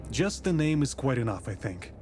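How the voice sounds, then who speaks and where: An actor playing a composed, authoritative nineteenth-century detective with a polite, formal, measured way of talking in a visual novel. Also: male voice, medium pitch, big pitch swings, over music, lightly acted. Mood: polite, relaxed. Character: composed, courteous, authoritative 19th-century male detective from the capital. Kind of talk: scripted visual novel voice acting.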